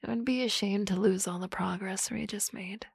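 A woman playing a teasing, confident office boss in a soft, close, whispered ASMR roleplay. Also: medium pitch, fairly even intonation, moderate pace, vocal fry, husky, no room sound.

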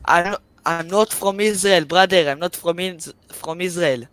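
Man from Greece, voice chat, broken English, insistent, repeats himself, slightly high voice. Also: measured pace, fairly bright tone, smooth. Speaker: online player talking to a streamer. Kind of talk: casual voice chat.

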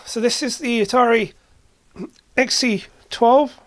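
High-voiced woman talking through a repair. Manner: halting, thinking aloud in short phrases. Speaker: hobbyist repair video maker. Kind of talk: casual hands-on demonstration narration. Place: indoor workshop at a workbench.

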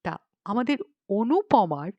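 A woman narrating a humorous audiobook story in an expressive, scripted reading style. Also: medium pitch, slow pace, no room sound, muffled recording.

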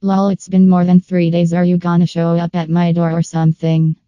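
A man voicing a flippant, unbothered artist with a casual, deadpan delivery. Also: high-pitched, moderate pace, smooth, no echo, lo-fi sound, close to an everyday voice. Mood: mocking, unbothered.

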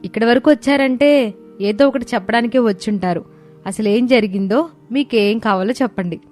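A woman's voice: on the high side, normal speed, darkish tone, faint music behind, lightly acted. A woman voicing a confident, dutiful young wife and mother in a dramatised story, assertive and reassuring.

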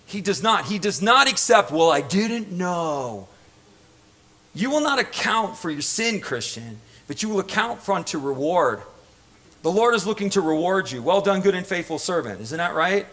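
High-pitched preacher, bright and emphatic. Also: male voice, big pitch swings, normal speed. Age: middle-aged. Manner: emphatic, repetitive, prods listeners with rhetorical questions. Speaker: pastor. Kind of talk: impassioned sermon to a congregation. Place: church stage.

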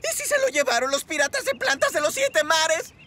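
Una mujer interpreta a una ardilla macho de dibujos animados, ansiosa, dramática y propensa al lloriqueo. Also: female voice, extremely high pitch, sing-song, brisk. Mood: panicked, tearful. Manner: overwrought, dramatic, given to wailing. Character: frantic, anxious male cartoon squirrel, devoted to his plant. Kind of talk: cartoon voice acting. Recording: loud music behind the voice.

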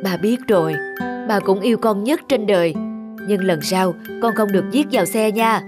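A woman's voice: mid-range voice, moderate pace, natural voice. Woman acting a stern, loving young father. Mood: tender, regretful. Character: stern but loving father of a small boy. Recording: music behind the voice.

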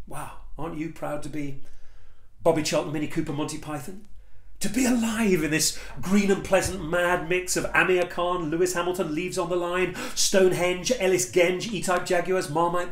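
A man in his fifties with an English accent performing spoken-word poetry in a theatrical, emphatic way with deliberate pauses, recorded in a living room. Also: high voice, fairly bright tone. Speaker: performance poet. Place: small living room, indoors. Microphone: camera or phone a short distance away. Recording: echoey room sound.

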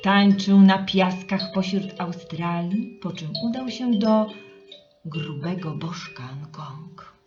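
A woman narrating a children's story in an expressive, dramatic and rhythmic way. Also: lowish voice, slow. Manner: dramatic, rhythmic delivery with refrains. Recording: slightly muffled, with music behind. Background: music.

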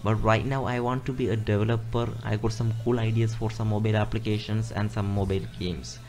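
A man's voice: lowish voice, flat delivery, fairly quick, darkish tone. A man in his twenties from Kerala whose first language is Malayalam, chatting casually as he answers viewer questions over mobile gameplay. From Kerala, South India. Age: 20s. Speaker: gaming YouTuber. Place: indoors, playing on a phone.

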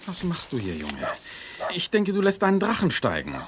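An actor playing a henpecked, indulgent father in a children's radio play, mild and halting. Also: sits high, huge pitch swings, background noise. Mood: hesitant, mild.

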